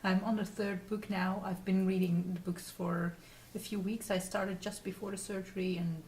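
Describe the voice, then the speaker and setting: A woman from the Netherlands with a Dutch accent, hosting a casual craft vlog in a halting, rambling way while getting over a cold. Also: medium pitch, little pitch movement, a little mellow. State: recovering from a cold. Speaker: podcast host. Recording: distant mic with faint noise.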